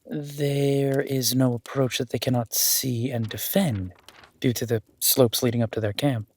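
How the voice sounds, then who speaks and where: A man playing a cautious warrior duke in a fantasy audio drama, respectful, measured and deferential. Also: mid-range voice, steady tone, a little mellow, lightly acted.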